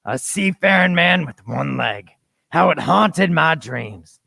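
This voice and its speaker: A man's voice: fairly high pitch, lively intonation, slow pace, gravelly, sharp tone, exaggerated voice. A man playing an earnest grown-up storyteller who recalls his boyhood in scripted, dramatic radio play narration. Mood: haunted.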